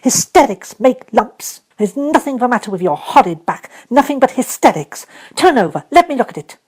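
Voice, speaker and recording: A woman voicing a savage, bossy little girl who shouts and stamps as she scolds. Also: fairly high pitch, very animated, moderate pace, slightly rough, pitched above the performer's own voice. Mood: furious, scolding.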